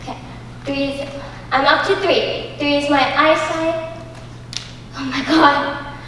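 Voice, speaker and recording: A woman's voice: sits high, steady tone, slow pace, a bit bright. A young woman student actor playing a melodramatic, cheerfully resigned woman in an absurdist stage comedy. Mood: stoic, rambling. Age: young adult. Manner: theatrical, stagey delivery. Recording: echoey auditorium, distant mic, faint noise.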